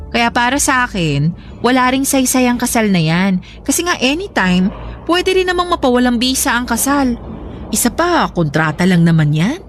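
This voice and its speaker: A woman's voice: fairly high pitch, sing-song, normal speed. A woman playing a practical, contented girlfriend in an audio love drama, with a conversational, reasoning manner. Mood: firm, defensive. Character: contented adult girlfriend, practical, unconvinced about marriage. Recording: music bed behind the voice.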